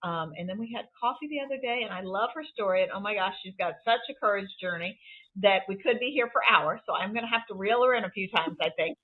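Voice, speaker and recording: A woman's voice: medium pitch, fast, slightly rough. A woman in her sixties hosting a podcast, upbeat and chatty, rambling a little as she talks. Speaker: podcast host. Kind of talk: conversational podcast interview. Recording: tinny phone-like line.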